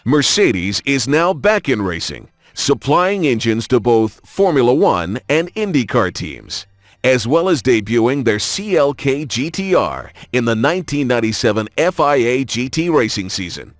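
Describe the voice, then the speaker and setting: A man reading scripted documentary-style narration in a polished, measured announcer delivery. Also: medium pitch, sing-song, no echo, studio quality. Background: background music.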